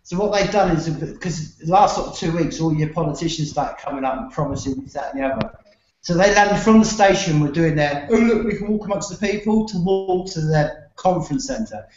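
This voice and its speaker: A man hosting a casual chat, swearing freely, laughing often and telling lively anecdotes. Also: on the high side, brisk. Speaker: podcast host. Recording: echoey room, dull lo-fi, faint noise.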